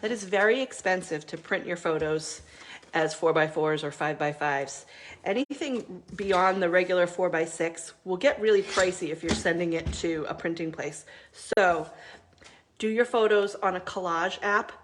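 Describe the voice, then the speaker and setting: A woman's voice: fairly low pitch, moderate pace, slight background noise. A middle-aged woman hosting a live crafting video, chatting casually with many ums while she works, still stuffy from a cold.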